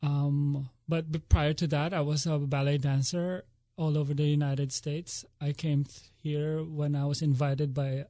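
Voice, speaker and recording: A man's voice: a little high, little pitch movement, a little slow, hardly any pauses, dark tone, no room sound. A man in his forties from the Philippines, a ballet director speaking earnestly as an interview guest, with frequent restarts. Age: 40s. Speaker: ballet school artistic director.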